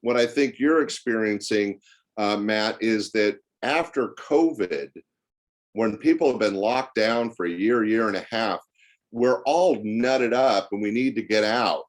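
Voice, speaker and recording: An older man with a low-pitched voice talks as a guest in a conversational interview. He is talkative and anecdotal, explaining things like someone recalling a long career in the club business. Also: a little slow. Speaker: podcast guest, former comedy club owner.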